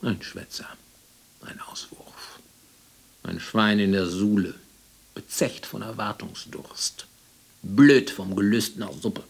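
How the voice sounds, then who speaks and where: A man in a radio drama playing a contemptuous archivist, husky, muttering to himself in clipped bursts. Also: on the low side, takes it slowly, exaggerated voice.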